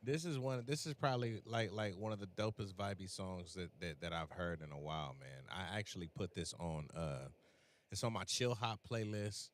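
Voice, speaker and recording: A man's voice: sits low, normal speed, a little hiss or hum. A man hosting a music interview, chatting casually with lots of fillers and restarts. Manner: laid-back, many fillers and restarts. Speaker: radio host and playlist curator.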